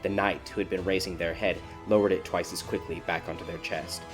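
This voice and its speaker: A man reading a scripted dark fairy tale as a dramatic audiobook narrator. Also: low voice, level tone, fairly quick. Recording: background music behind the voice.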